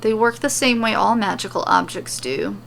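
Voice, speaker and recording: A woman voicing a calm, grave male warrior in an audio story, speaking in a measured, serious way. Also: medium pitch, vocal fry, slight background noise. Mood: quiet, guarded.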